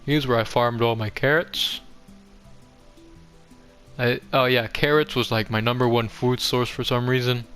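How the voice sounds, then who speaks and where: A young man with a clear, smooth voice at an average pitch guides viewers through a game world. He talks in a casual, rambling and self-deprecating way, like an offhand tour host. Music plays behind him. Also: steady tone, measured pace, pauses often, fairly bright tone. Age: young adult. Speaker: gaming video host. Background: background music.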